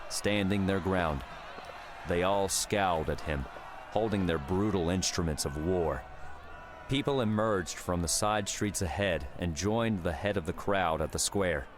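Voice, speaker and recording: A man's voice: sits low, unhurried, noisy background. A man reading dramatic fiction as a scripted audiobook narration.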